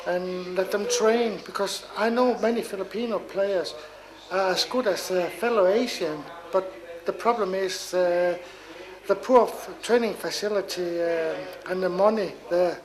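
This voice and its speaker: High-voiced man from Denmark, halting. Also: low-quality recording. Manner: hesitant, many filler sounds. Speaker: football team founder. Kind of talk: interview answers.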